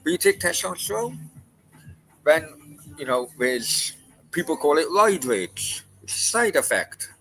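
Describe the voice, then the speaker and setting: A man's voice: on the high side, sing-song, a little slow, fairly bright tone, slight background noise, rough recording. A man in his forties hosting a late-night chat, ranting bluntly and with lots of swearing. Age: 40s. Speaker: podcast host.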